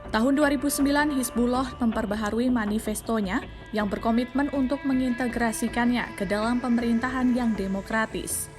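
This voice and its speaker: A woman with an Indonesian accent reads a scripted news explainer in a formal, measured manner. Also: fairly high pitch, steady tone. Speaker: news narrator. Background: background music.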